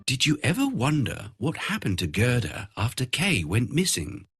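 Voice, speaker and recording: A man narrating a fairy tale from a script in an expressive storytelling manner. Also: mid-range voice, normal speed, music in the background.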